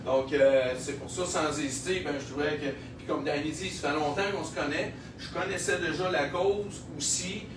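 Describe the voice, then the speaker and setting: A man from Quebec with a Québécois accent, a microbrewery owner giving an animated speech at a local launch event, with many fillers. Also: fairly high pitch, normal speed, few pauses. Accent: Québécois French. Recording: echoey room, heard from a distance, noisy.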